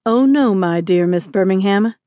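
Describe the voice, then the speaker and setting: A woman's voice: mid-range voice, normal speed, lo-fi sound, natural voice. A stern frontier marshal as voiced by a woman narrator in an audiobook western. He gives orders firmly and with irritation, used to being obeyed. The sound is dry, without room echo. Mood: firm, controlled. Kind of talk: acted audiobook dialogue.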